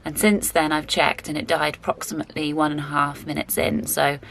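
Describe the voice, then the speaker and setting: A woman's voice: sits low, little pitch movement, fairly quick, creaky, fairly bright tone, a little hiss or hum. A woman, chatting casually and self-deprecatingly in a book vlog recorded in a garden shed. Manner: rambling, self-deprecating, with fillers and laughs. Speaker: book vlogger. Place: garden shed, rural area.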